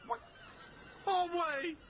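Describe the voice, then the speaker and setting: A man's voice: extremely high pitch, steady tone, drawn-out words, highs cut off, slight background noise, exaggerated voice. An actor playing a grandiose, flamboyant father of a troupe of poor players, declaiming floridly in a period radio drama. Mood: indignant.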